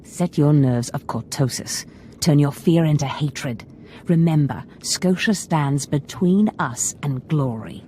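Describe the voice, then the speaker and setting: An actress playing a scheming, ambitious Sith lord mentor in a video game, theatrical and conspiratorial. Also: female voice, low-pitched, takes it slowly, mellow, a little hiss or hum. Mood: gloating.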